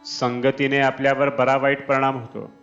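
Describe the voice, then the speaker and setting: A man reading a scripted spiritual discourse in a measured, deliberate manner. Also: medium pitch, little pitch movement, fairly bright tone. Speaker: reader of devotional discourses. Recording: dull lo-fi, Indian classical music behind. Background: Indian classical instrumental music.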